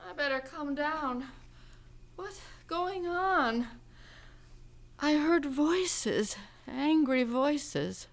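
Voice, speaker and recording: A woman's voice: sits high, expressive, very slow, heavily acted. A woman playing a middle-aged, bitterly jealous wife and mother in a radio drama, anxious and accusing.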